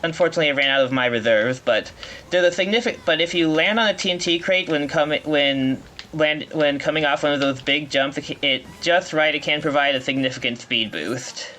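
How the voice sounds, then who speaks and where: Halting man explaining gameplay, higher-pitched voice. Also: pushed and bright, slight background noise, poor audio. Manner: halting, explanatory, often rephrasing mid-sentence. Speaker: speedrunner playing at a marathon. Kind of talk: live gameplay commentary.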